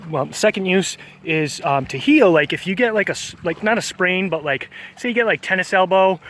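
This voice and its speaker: A man's voice: sits high, lively intonation, moderate pace, fairly bright tone. A man, vlogging outdoors on a beach in a rambling, hesitant way, full of fillers and self-corrections. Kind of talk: casual walking vlog monologue.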